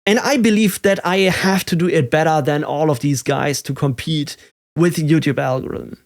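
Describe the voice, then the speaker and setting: A man in his thirties speaking English with a German accent, his voice sitting a little higher than most men's. He talks candidly and thoughtfully, pausing on "uh" and "um" and restarting his sentences as he searches for words. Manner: reflective, with fillers and restarts. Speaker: tech YouTuber. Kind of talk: candid personal vlog.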